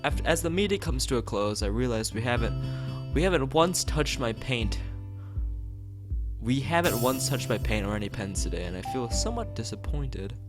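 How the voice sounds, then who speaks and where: A man's voice: medium pitch, lively intonation, normal speed. A man hosting a game playthrough, reading visual novel narration aloud in an informal way and sometimes stumbling over lines and rereading them. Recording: music playing behind the voice. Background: plucked guitar game music.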